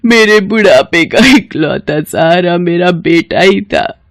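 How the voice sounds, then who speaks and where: A woman voicing an elderly widowed village mother, plaintive and sorrowful, with a slow, pleading delivery. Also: on the low side, expressive, no echo, studio quality. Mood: grieving. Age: older.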